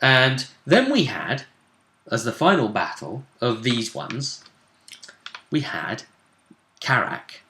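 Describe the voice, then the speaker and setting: A man's voice: mid-range voice, wildly swooping pitch, slow, pauses often, bright, forceful tone. A man chatting casually as a game master, recounting the events of a tabletop role-play session. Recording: roomy, echoey sound.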